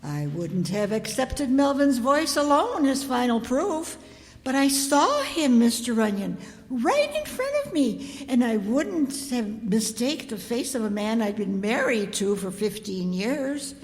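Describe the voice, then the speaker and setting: Casting: prim, wordy suburban wife played by a woman, high animated voice, radio mystery style, reverberant hall. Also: a little slow. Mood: earnest, confiding. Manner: prim, wordy, talks over her husband. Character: married suburban matron, genteel, insistent, credulous about seances. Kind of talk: old-time radio detective drama acting.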